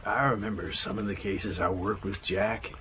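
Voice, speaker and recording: An actor playing a forgetful, apologetic old retired detective, halting and gravelly, in a radio drama. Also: male voice, lowish voice, fairly even intonation, natural voice. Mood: apologetic, hesitant. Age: older. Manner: halting, hesitant. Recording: noisy ambient background.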